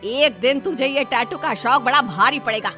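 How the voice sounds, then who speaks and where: A woman voicing a bossy but caring mother-in-law in an animated moral story, nagging and sarcastic. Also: high voice, measured pace, faint music behind, exaggerated voice. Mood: scolding.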